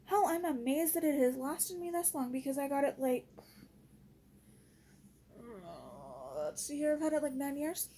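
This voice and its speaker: A woman with a notably high voice and a soft, mellow tone talks as if venting to her followers. She is exasperated and blunt, and keeps it casual and full of slang. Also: expressive, unhurried, long pauses, slight background noise. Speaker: vlogger.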